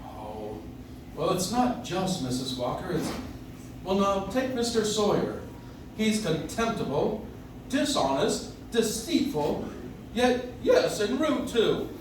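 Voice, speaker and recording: Man acting an animated old gentleman, distant, echoey. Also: high-pitched, slow, exaggerated voice. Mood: dejected. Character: kindly, indignant old man believing himself Santa Claus. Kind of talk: live stage radio play. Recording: roomy, distant audience recording with noise.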